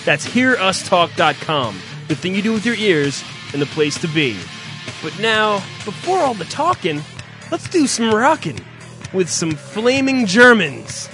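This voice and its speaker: A man's voice: high-pitched, very animated, measured pace, music in the background. A man hosting an internet radio show, teasing and profane in casual comic banter.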